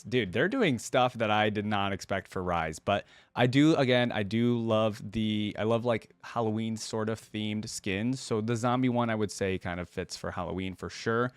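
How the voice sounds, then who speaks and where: A man's voice: fairly low pitch, dry recording. A man hosting a reaction video, commenting casually and thinking aloud in an informal way.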